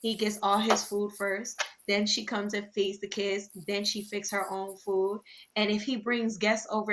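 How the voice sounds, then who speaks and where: A woman co-hosts a casual podcast chat. Her voice is bright and forward, and she tells personal stories with animated asides and quick laughs. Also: mid-range voice, poor audio. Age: 20s. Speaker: podcast co-host.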